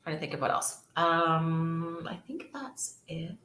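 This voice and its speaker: A woman's voice: low voice, lively intonation, slow, bright, forceful tone, reverberant. A woman, a real estate agent chatting casually and upbeat to livestream viewers, repeating words for emphasis.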